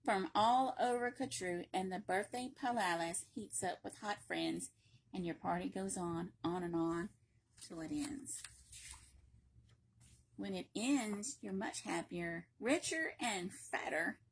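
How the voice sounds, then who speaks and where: A woman reading a children's picture book aloud in a playful, expressive way, with emphatic repetition and chatty asides. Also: medium pitch, slow, slightly rough. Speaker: library read-aloud reader.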